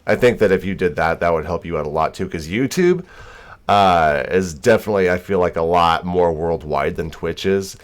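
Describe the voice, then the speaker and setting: American dude streaming, real low voice, laid back rambly chat. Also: male voice, normal speed. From United States. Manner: easygoing, informal, rambling. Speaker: game streamer. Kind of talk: casual livestream chat.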